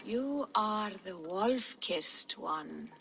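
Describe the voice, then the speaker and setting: A woman's voice: medium pitch, animated, fairly bright tone, slight background noise, heavily acted, low-quality recording. An actress playing a solemn, mystical female seer who speaks in riddles and prophecy in a game cutscene. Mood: cryptic. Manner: slow, cryptic, prophetic. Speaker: volva. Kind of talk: video game cutscene acting.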